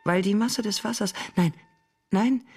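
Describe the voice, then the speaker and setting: A performer in an experimental radio play, voicing a weary, brooding figure who murmurs poetic fragments in a creaky, deliberate voice. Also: medium pitch, darkish tone, natural voice. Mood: musing, restless.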